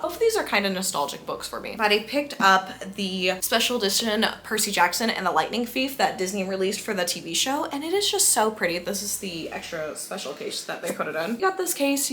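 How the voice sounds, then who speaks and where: A young woman with a bright, forward voice at a medium pitch, talking as a book vlogger. She chats casually and effusively, often repeating phrases for emphasis. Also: slight background noise. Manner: gushing, effusive, emphatic repetition. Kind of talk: casual enthusiastic chat to camera.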